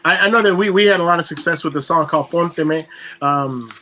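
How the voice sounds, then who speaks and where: A man co-hosting a casual video-call interview, asking quick questions and restarting them often. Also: a little high, sharp tone, slight background noise. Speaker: co-host.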